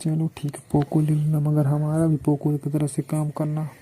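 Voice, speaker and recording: A man's voice: on the high side, monotone, normal speed, dark tone, slightly rough. A man talks coaxingly and insistently in a casual, flirtatious phone conversation. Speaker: phone caller.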